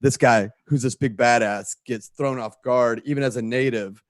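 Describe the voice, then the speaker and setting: A man's voice: mid-range voice, steady tone, moderate pace, no room sound, studio quality. A man chatting casually and telling stories as a panel guest. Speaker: panel guest from a drama's creative team. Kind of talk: casual storytelling chat.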